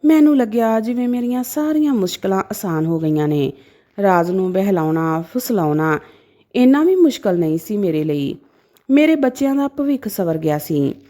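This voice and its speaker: Expressive woman storyteller with lively pitch. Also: normal speed. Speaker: story narrator. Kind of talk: dramatic first-person story narration.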